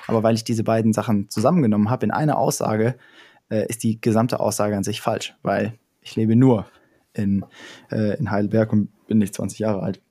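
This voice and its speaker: A man's voice: fairly low pitch, fairly even intonation, normal speed, warm tone, breathy edge. A young man in casual chat, explaining ideas step by step with frequent fillers and restarts.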